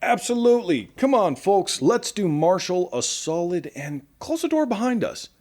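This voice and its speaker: Guy doing a chatty nervous radio show host, audio drama, highish voice, really animated, trips over words a little. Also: moderate pace. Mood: upbeat, chatty. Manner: chatty, stammering. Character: nervous, friendly male radio show host after the apocalypse.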